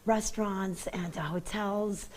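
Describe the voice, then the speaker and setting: A woman reporting in the field, guiding an on-location interview with brisk, affirming replies. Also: mid-range voice, fairly even intonation.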